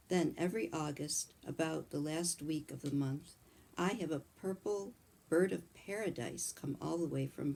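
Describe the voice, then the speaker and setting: A woman voicing a kindly old male country doctor, chatting calmly in a read-aloud storybook. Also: sits low, vocal fry, breathy edge, heavily acted, low-quality recording.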